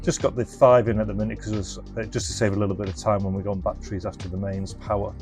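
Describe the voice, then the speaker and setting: A man's voice: on the low side, flat delivery, racing, darkish tone, soft background music. A man casually presenting a hands-on product demonstration, explaining informally and thinking aloud as he goes. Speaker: presenter and reseller of restored retro electronics.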